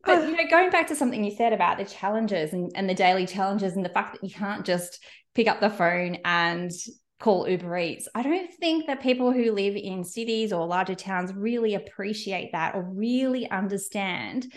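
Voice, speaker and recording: A woman's voice: medium pitch, lively intonation, normal speed. A woman hosting a podcast interview, enthusiastic and effusive in her praise. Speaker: podcast host.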